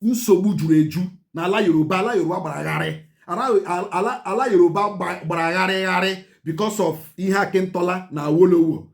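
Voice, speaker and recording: A Nigerian man with a fairly high voice talks through history in an emphatic, lecturing way. He hammers on his points by repeating them and tells his listeners to pay attention. Igbo phrases slip into his English now and then. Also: fast talker, vocal fry, hardly any pauses. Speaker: channel host. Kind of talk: history commentary to camera.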